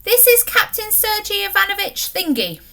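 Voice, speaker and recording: A bossy, determined little girl, as a woman reading aloud puts her on, high and bright, with every line coming out eager and commanding. The voice leaps about with lively energy. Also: moderate pace, vocal fry, heavily acted. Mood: excited.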